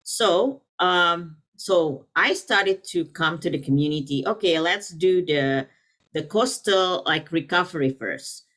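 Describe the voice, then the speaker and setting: A woman's voice: lowish voice, animated, slow pace, a bit bright. A middle-aged woman from Indonesia speaking at a panel, rambling with many fillers and restarts. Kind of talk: casual conference talk.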